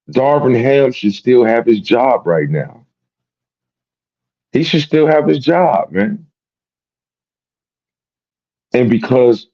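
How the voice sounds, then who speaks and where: A man's voice: medium pitch, mellow, highs cut off. A man hosting a sports talk show, rambling and repeating himself in casual, profane commentary. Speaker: sports podcast host.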